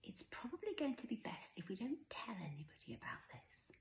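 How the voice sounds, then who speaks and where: A woman voicing a playful, conspiratorial and gently decisive young mother in a story reading. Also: lowish voice, very animated, normal speed, echoey room, a little hiss or hum, poor audio. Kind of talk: audiobook character voice.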